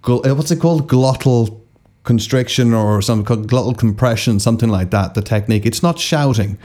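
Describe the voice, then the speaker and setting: A man hosting an interview podcast in a laid-back, casual way, with a raspy, lived-in voice. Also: medium pitch, brisk, darkish tone. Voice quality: self-described raspy, lived-in voice. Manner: laid-back, encouraging backchannels. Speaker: podcast host.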